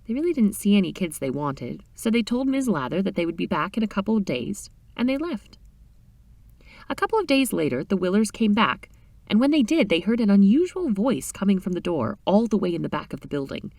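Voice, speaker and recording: A woman reading a short story aloud in an expressive, dramatic storytelling style. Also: medium pitch, a little fast, dry recording, far from the mic. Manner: animated, dramatic reading with character voices.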